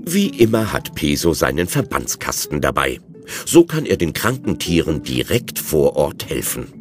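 A deep-voiced male storyteller narrates a children's adventure audio drama. His delivery swings widely and expressively, with music playing clearly behind him. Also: wildly swooping pitch, normal speed, full character voice. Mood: narrating.

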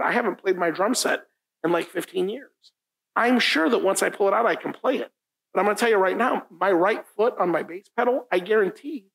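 Man, middle-aged, pastor, first language Italian, gravelly voice, casual sermon, repeats points, church room, distant camera. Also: high-pitched, a bit bright. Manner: informal, often asks "right?", repeats key lines for emphasis. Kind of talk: conversational sermon. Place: church meeting room, indoors, standing at a lectern.

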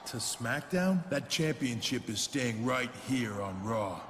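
A man playing a proud, territorial pro wrestler with a slow, threatening delivery in a video game. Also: medium pitch, lively intonation. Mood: menacing. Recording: echoey hall, noisy background.

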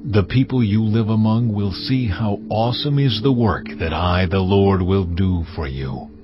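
An actor playing God in a dramatized audio Bible, speaking in a slow, measured, commanding way. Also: male voice, fairly low pitch, close to an everyday voice. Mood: solemn. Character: God, deep solemn voice of the Lord. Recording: slightly dull audio with music bed.

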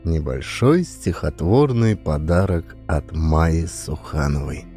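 A man's voice: extremely low pitch, animated, takes it slowly, warm tone. A man who narrates audiobooks reads a scripted festive poem as a dedication to listeners. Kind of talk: scripted poetry reading. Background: music.

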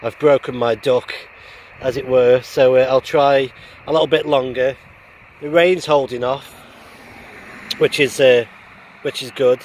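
A man's voice: medium pitch, fairly even intonation, unhurried, long pauses, a little hiss or hum. A middle-aged man chatting casually and a little hesitantly outdoors, as an amateur radio operator making a vlog. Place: outdoors on open heathland by a road.

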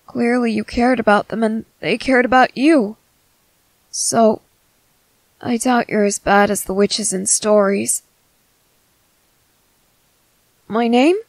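A woman voicing a gentle young merman in an ASMR roleplay, hesitant, soft-spoken, earnest and apologetic. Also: high-pitched, dry recording. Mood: fascinated. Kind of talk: ASMR roleplay, scripted character acting.

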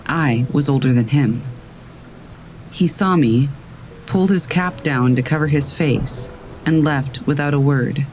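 A man calmly reading scary stories aloud as a narrator, in a steady storytelling manner. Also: a little high, measured pace, slight background noise, rough recording. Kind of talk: scripted narration of reader submissions. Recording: faint rain in the background. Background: rain.

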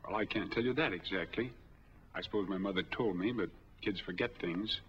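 An American actor in his forties playing a smooth, easygoing drifter in a 1940s film drama. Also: deep voice, brisk, a bit bright. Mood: earnest, measured. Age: 40s. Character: smooth, easygoing drifter applying for bank money. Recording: dull old 1940s film soundtrack.

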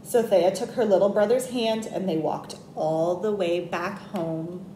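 A woman in her twenties reading a picture book aloud to children in an expressive, deliberate way. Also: medium pitch. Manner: animated, deliberate. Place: small plain indoor room. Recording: echoey room with background noise.

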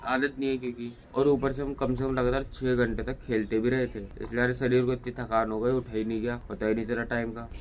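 A man's voice: mid-range voice, highs cut off, a little hiss or hum. A tired young man in a casual daily vlog, rambling and chatty while lying on a bed, with a phone held at arm's length. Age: young adult. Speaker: vlogger.

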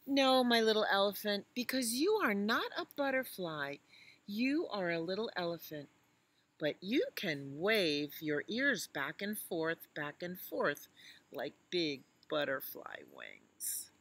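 A woman voicing a gentle mother elephant, patiently teaching her little one in a children's storybook. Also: medium pitch, animated, slow pace. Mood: gently encouraging. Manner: patient, instructive.

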